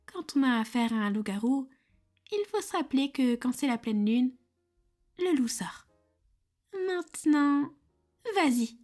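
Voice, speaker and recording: A woman's voice: high-pitched, a little mellow. A woman voicing a playful female Cupid, a breezy, teasing matchmaker in an ASMR roleplay. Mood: apologetic, playful. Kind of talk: French ASMR roleplay acting.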